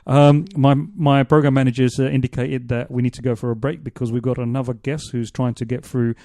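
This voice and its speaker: A man hosting a radio interview in a studio, asking long, halting questions full of restarts. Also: mid-range voice, little pitch movement, warm tone, no room sound. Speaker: radio show host.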